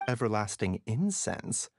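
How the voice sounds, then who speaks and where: An actor playing a suave, genial pharmacy owner in a video game, smooth and polite in manner. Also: male voice, lowish voice, big pitch swings, unhurried, a little hiss or hum. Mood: unruffled.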